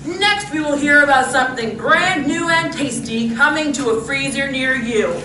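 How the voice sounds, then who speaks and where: A cheery actress's old-time radio pitchwoman with a high, bright voice. She sells in a chirpy, emphatic announcer style. The sound is roomy and picked up from a distance. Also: normal speed. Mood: upbeat selling. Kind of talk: 1940s radio commercial acting. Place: live performance in a room. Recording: roomy stage recording, distant mic, faint noise.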